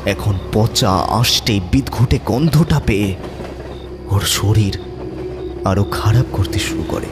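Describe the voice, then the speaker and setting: A man narrating a horror story in a suspenseful, theatrical manner with dramatic pauses. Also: fairly low pitch, background noise.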